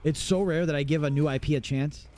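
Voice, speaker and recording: A man's voice: on the high side, quick pace, a little mellow. A man in his thirties streaming a game live, chatting casually and swearing while he plays. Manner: casual, profane, rambling. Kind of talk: live gameplay commentary.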